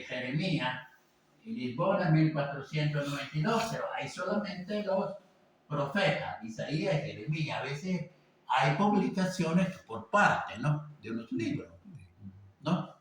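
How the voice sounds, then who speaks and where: A man from Venezuela gives an informal class in a rambling, halting way, full of fillers and tag questions. His voice is slightly high for a man. It is recorded from a distance in a very echoey room with faint background noise. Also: lively intonation. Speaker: teacher. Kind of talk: informal lecture.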